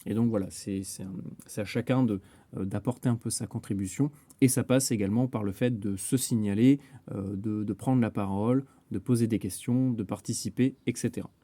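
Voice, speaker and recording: A man giving a casual software tutorial as a network administrator, explaining step by step with some hesitation. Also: fairly low pitch, steady tone, a little fast, no echo.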